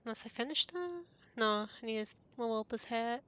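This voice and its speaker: A woman's voice: on the high side, expressive, brisk, muffled recording, noisy background. A woman streaming a game, chatting casually and thinking aloud in an absent-minded way. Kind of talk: casual gameplay stream chat. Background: game music.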